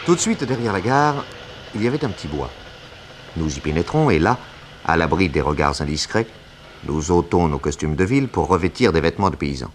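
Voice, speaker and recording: An actor playing a composed detective who narrates his adventure in measured, precise French, 1960s radio drama style. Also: low-pitched, sing-song, a little hiss or hum. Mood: grave, suspenseful.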